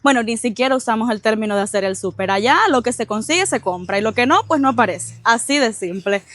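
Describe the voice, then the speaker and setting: A woman's voice: on the high side, lively intonation, fast, a bit bright, no echo. A woman with a Cuban accent hosting a vlog, chatting casually and expressively to the camera. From Cuba. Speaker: vlog host.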